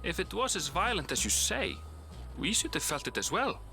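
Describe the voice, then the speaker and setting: Bright, expressive courteous traveller. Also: male voice, high-pitched, normal speed, vocal fry, faint music behind, full character voice. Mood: polite, concerned. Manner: polite, considerate, articulate. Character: courteous, measured, helpful male traveller. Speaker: game character. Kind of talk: video game voice acting.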